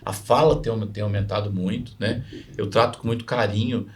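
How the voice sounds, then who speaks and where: A man's voice: lowish voice, steady tone, moderate pace, a little hiss or hum. A man from Minas Gerais with a Mineiro accent, a physician guest chatting on a podcast, rambling and dropping frequent tag questions. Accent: Mineiro Brazilian Portuguese. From Minas Gerais, Brazil. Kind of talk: casual podcast conversation.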